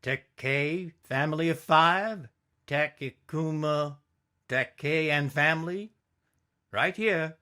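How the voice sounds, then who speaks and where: A man's voice: on the high side, slow, sharp tone, pitched above the performer's own voice. A man voicing a dutiful, protective father who speaks firmly and in an orderly way in a storybook reading. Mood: formal.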